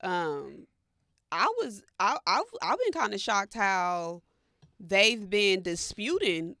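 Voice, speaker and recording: A woman's voice: mid-range voice, sing-song, slow, bright, forceful tone, dry recording, studio quality. An American woman co-hosting a talk show, chatting casually in blunt, slangy language. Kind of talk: casual culture gossip chat.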